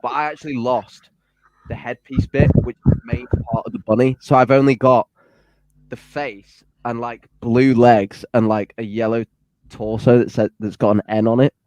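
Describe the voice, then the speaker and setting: A young man talks in a loose, rambling way, tossing in jokey, self-deprecating asides. His voice sits at an ordinary pitch. The sound is dry and close to the mic. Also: steady tone, normal speed, darkish tone, dry recording, low-quality recording. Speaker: guest. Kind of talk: casual livestream chat.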